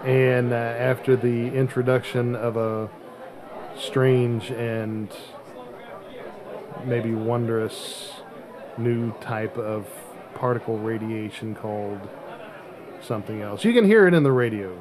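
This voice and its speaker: A man's voice: medium pitch, takes it slowly. Male podcast host, with chatter behind. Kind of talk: casual show hosting.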